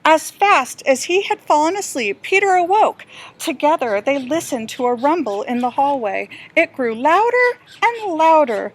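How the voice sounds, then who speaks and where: Female children's storybook narrator: high pitch, very unhurried delivery, expressive, teacherly. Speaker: teacher reading to pupils.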